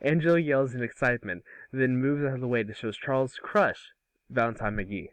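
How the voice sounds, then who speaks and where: A man narrating a script aloud in an amateur table read, with a halting, stumbling delivery. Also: medium pitch, fairly bright tone, no room sound, low-quality recording.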